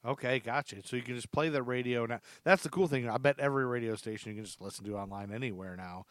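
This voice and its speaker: A man's voice: medium pitch, quick pace, no room sound. Chatty guy hosting a podcast, loose and casual, really dry close sound. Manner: chatty, laughs readily, loosely phrased. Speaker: podcast host.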